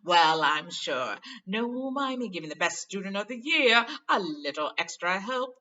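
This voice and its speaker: A woman's voice: medium pitch, sing-song, unhurried, sharp tone, muffled recording, voice pushed up. A woman voicing a vain, pompous male wizard teacher, smug and self-satisfied, in a storybook reading. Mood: smug, gushing.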